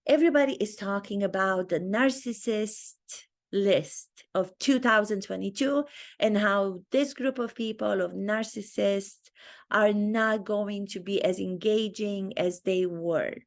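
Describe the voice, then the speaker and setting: A woman's voice: medium pitch, unhurried. Romanian-accented woman reflecting aloud. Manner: thinks aloud, earnest and reflective. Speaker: acting teacher and video host. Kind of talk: talking-head commentary video.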